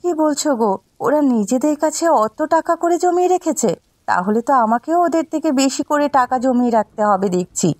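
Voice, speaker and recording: High-pitched, boastful village housewife played by a woman. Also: lively intonation, fairly quick, no room sound. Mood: curious, competitive. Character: competitive, boastful young village housewife in a folk-tale cartoon. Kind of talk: cartoon voice acting.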